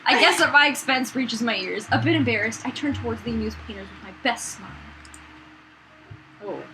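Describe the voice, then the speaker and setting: A young woman who hosts a let's play, reading a game aloud and chatting casually in a giggly, excitable way with plenty of swearing. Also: a little high, brisk. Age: young adult. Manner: giggly, excitable, foul-mouthed. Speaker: let's play channel host. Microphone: built-in or fallback mic, not the intended one. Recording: noisy, lo-fi fallback room mic.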